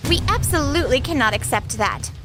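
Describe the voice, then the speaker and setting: A woman's voice: high-pitched, animated, a little fast. Woman acting strict company president, female, formal, reproachful authority, manga dub, background music. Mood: stern, reproachful.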